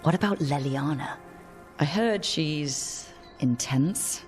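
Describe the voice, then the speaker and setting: A woman's voice: deep voice, expressive, slow pace, darkish tone, soft background music. A woman playing a curious, wry adventurer and leader in a fantasy video game, with a dry conversational manner. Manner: dry, inquisitive.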